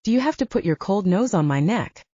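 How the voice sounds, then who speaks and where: A woman's voice: mid-range voice, lively intonation, fairly quick, mellow, no room sound, lo-fi sound, lightly acted. A woman voicing a blunt, protective young male butcher who teases, in an audiobook. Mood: teasing. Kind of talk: audiobook character dialogue.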